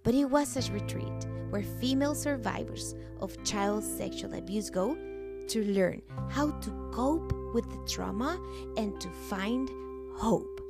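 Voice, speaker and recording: Woman podcast host, slow, gravelly, higher voice, guitar music behind. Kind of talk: scripted podcast intro narration. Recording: intro spoken over guitar music.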